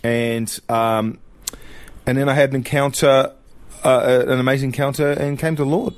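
A middle-aged man from New Zealand, a guest sharing his personal testimony in a relaxed, reflective way, with fillers and restarts. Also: mid-range voice, normal speed, no room sound.

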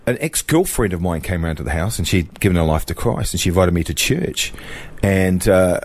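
A middle-aged man from New Zealand, a guest sharing his personal testimony in a relaxed, reflective way, with fillers and restarts. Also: deep voice, a little fast, dry recording.

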